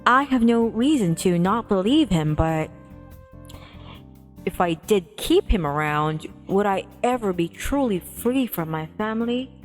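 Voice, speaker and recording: A woman livestreamer reading a visual novel aloud expressively, with playful casual asides. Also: fairly high pitch. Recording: music behind the voice. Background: music.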